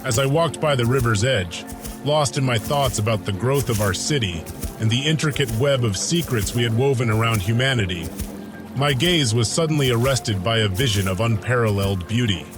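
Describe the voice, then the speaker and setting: A man's voice: medium pitch, normal speed, over music. A man narrating a scripted audio drama in the first person, with a grandiose, florid storytelling manner. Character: ancient soldier turned paladin, lovestruck, reminiscing.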